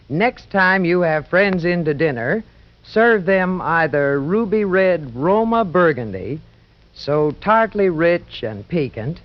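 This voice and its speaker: A woman with a genteel, measured manner giving a 1940s radio testimonial as a hostess. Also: fairly low pitch. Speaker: celebrity hostess giving sponsor advice. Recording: dull 1940s radio recording.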